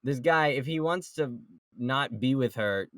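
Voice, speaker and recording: A man's voice: medium pitch, measured pace, a bit bright, dry recording, clean studio sound. A man chatting casually as a podcast panelist, joking and swearing freely. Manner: jokey, profane. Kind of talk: casual group chat about a manga.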